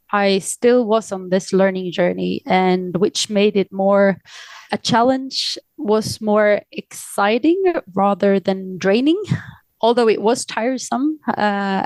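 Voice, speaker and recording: A woman's voice: medium pitch, darkish tone, no echo. A woman with a Swedish accent, answering reflectively as a podcast guest, with hesitant, searching phrasing. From Sweden. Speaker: podcast guest, aid organisation director. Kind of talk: reflective interview conversation.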